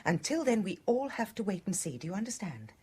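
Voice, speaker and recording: Radio drama lead: secretive British wife, husky, emotionally expressive with wide pitch swings. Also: female voice, fairly quick. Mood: soothing. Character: secretive, emotional wife of a murdered man, in love.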